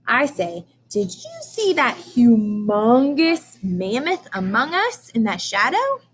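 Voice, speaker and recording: A woman's voice: fairly high pitch, huge pitch swings, takes it slowly, slight background noise. A woman teacher leading a read-aloud lesson for young children, prompting with questions and carefully sounding out key words. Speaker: early-grades teacher.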